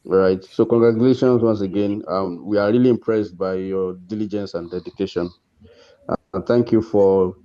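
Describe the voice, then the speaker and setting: A Nigerian man presents awards in an informal online ceremony. His voice is soft-edged and relaxed, with an encouraging, congratulatory manner. The call audio sounds somewhat dull and lo-fi. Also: lowish voice. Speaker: host presenting course awards. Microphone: video call.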